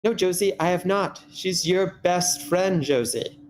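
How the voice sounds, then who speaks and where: A man's voice: sits high, measured pace. A man playing an earnest, placating husband in a screenplay table read. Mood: defensive. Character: earnest, placating husband hiking with his wife. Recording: background noise on a live meeting.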